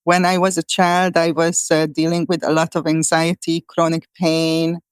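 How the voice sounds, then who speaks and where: A woman with a Hungarian accent talks through her path as a healer, pausing on fillers and restarting her sentences. Her voice is smooth and clear, with a level, even melody that rarely rises or falls. The recording is dry and close. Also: sits low, no room sound. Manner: thoughtful, many fillers and restarts. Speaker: guest, shamanic healer. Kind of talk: conversational interview answers.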